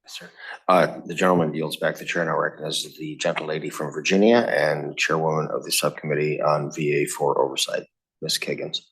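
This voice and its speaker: A man chairing a congressional roundtable, calling on speakers with brisk, formulaic recognitions. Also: rumbling low, fairly even intonation, creaky, fairly bright tone, slightly rough, low-quality recording. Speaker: committee chair. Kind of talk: formal meeting procedure. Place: hearing room.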